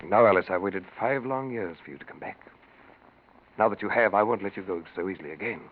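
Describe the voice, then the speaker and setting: An actor playing a bitter, possessive, lovesick brother-in-law with intense 1940s radio melodrama delivery. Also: male voice, sits low. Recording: tinny, noisy 1940s radio recording.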